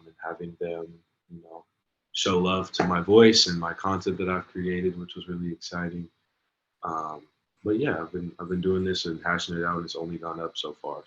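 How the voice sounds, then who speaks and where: Very deep-voiced young man, dull sound. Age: 20s. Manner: laid-back, many ums and likes. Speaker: guest, singer. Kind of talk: casual interview.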